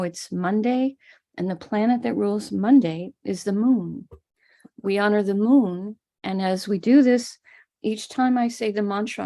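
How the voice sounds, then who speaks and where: A woman with an American accent, gently explaining and guiding a live online ritual as a spiritual teacher. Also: mid-range voice, gravelly. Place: home room in Florida.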